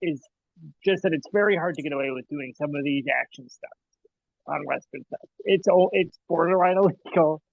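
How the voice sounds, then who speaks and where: Co-host, man in his thirties, slightly high, meandering and hedging, muffled dry mic. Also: normal speed, gravelly, breathy edge, dry recording. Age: 30s. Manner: rambling, hedging, laughs often. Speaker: podcast co-host. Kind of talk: casual movie review chat.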